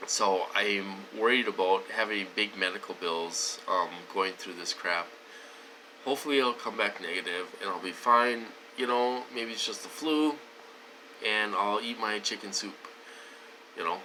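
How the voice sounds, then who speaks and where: A man's voice: on the low side, measured pace, bright, forceful tone, slight background noise, rough recording. A man talking casually to his viewers, wheezy and short of breath, rambling with many fillers and restarts. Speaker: vlogger. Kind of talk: casual talk to camera.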